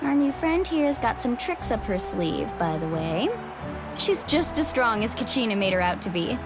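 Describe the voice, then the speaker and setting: A woman's voice: a little high, big pitch swings, moderate pace, loud music behind the voice. A woman voicing a spirited young woman in a game, friendly and guiding, fierce when her friends are insulted. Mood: cheerful. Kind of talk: game voice acting.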